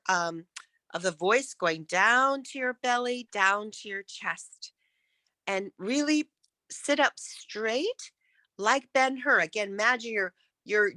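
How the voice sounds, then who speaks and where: A woman's voice: fairly high pitch, pushed and bright, no echo. A woman coaching public speaking in an interactive lesson, encouraging and emphatic as she demonstrates.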